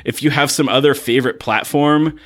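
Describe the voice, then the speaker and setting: A man talks as a podcast co-host in a casual, conversational way. His voice sits at a medium pitch, with an informal, wry manner. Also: fairly even intonation, fairly quick. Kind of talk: casual co-hosted podcast chat.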